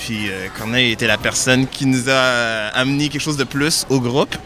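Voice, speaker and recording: A man's voice: mid-range voice, steady tone, moderate pace, noisy background. A young man of twenty-three, a singer and theatre student, talking earnestly with fillers. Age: 20s. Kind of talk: casual interview.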